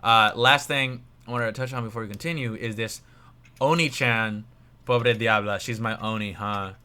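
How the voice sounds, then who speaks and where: Bright-voiced young man reviewing music. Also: on the low side, moderate pace, smooth. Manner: rambling, many fillers, trails off quietly. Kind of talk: casual review chat on a livestream.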